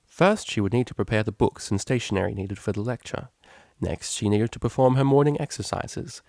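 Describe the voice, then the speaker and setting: A man's voice: on the low side, fairly quick, gravelly, a little mellow, no room sound, studio quality. A man reading fan fiction aloud as scripted story narration.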